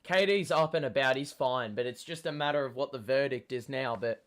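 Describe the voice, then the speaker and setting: A young man hosting a live basketball watchalong, swearing often and yelling at big plays, his voice going hoarse and cracking. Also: mid-range voice, fairly quick. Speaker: host.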